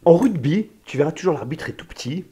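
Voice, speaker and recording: A man from Spain co-hosting a podcast, talking in a casual, animated and opinionated way. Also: a little high, quick pace. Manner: animated, opinionated, mimics people in passing. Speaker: podcast co-host.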